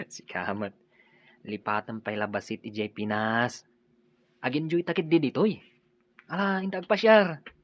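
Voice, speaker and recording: An actor playing a breezy, nagging Filipino buddy in an Ilocano radio drama. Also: male voice, mid-range voice, fairly even intonation, unhurried, long pauses, muffled recording, natural voice.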